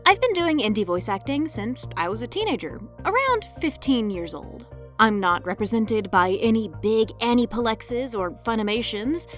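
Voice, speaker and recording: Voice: medium pitch, sing-song, moderate pace. A woman narrating a scripted tutorial as host, with a playful, cheeky manner and joking asides. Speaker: host, indie voice actor giving tutorial. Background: background music.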